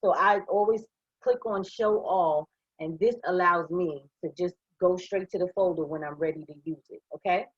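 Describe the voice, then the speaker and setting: Hoarse woman trainer, husky, slightly muffled close mic, upbeat walkthrough voice. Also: fairly low pitch, normal speed, dry recording. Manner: upbeat, step-by-step, checks in with "okay?". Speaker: online trading trainer. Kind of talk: live screen-share software walkthrough.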